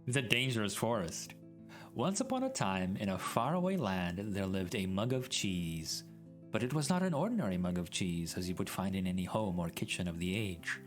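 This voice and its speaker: Theatrical man reading aloud, swooping pitch. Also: very animated, normal speed. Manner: theatrical, mock-grand storyteller delivery. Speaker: streamer reading a story aloud. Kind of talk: dramatic storybook reading. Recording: background music mixed under voice.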